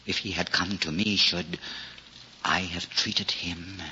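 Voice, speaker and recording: An actor playing a lonely, grieving, embittered old village cobbler in a 1940s radio drama, speaking slowly and wearily in old-fashioned formal language. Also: male voice, deep voice, fairly even intonation, pushed and bright, full character voice. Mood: reverent, reflective. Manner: slow, weary, old-fashioned formal speech. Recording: muffled, noisy 1940s radio recording.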